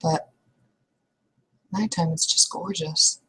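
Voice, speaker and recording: A woman improvising in-character dialogue for a roleplaying session, speaking in a hesitant, halting way. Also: low voice, steady tone, a bit bright, slight background noise.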